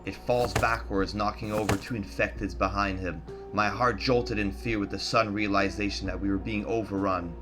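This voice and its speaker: A man's voice: on the low side, fairly even intonation, normal speed, hardly any pauses, music in the background. A man reading a first-person horror story in a tense, dramatic, scripted narration style.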